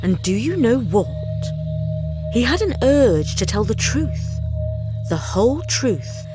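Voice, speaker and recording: Female bedtime storyteller: lively intonation, unhurried deliberate pace, reading for children. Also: on the high side, over music.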